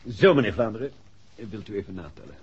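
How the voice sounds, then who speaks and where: A man's voice: on the low side, big pitch swings, fast, slightly rough, full character voice. An actor playing a genial, obliging bank manager in a 1960s radio drama, chatty and hesitant, repeating himself as he thinks. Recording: dull, muffled 1960s radio recording.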